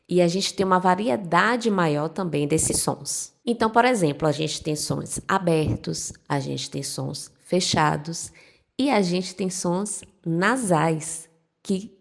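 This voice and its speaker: A woman's voice: on the low side, gravelly. A young woman teacher with a Northeastern Brazilian accent, giving a casual video lesson and explaining patiently, step by step. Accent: Northeastern Brazilian Portuguese. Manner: friendly, reassuring, explains step by step. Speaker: Spanish teacher.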